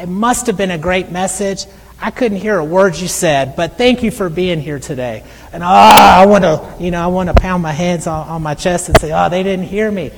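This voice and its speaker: A middle-aged male missionary preacher with a soft, high voice that is often taken for a woman's, telling stories in a conversational sermon with frequent restarts. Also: a little hiss or hum. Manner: conversational storytelling with repeated restarts. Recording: long hall reverb.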